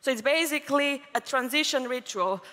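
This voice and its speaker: Bright-voiced woman giving a conference talk. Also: high voice, brisk. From Croatia. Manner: fluent, explanatory, with occasional fillers. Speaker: conference speaker. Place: large conference hall. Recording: hall reverb from a conference stage.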